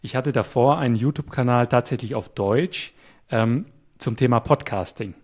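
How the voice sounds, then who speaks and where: A man as an interview guest, calmly explaining his work with a few fillers. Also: medium pitch, moderate pace. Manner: calm, explanatory, some fillers. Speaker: guest, web developer. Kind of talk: casual interview chat.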